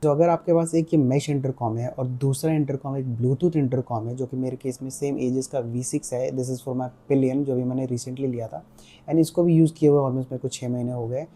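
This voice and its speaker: A man's voice: medium pitch, steady tone, moderate pace, warm tone. A man with an Indian accent giving a casual product review, explaining step by step and mixing Hindi with English. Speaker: motorcycle gear reviewer.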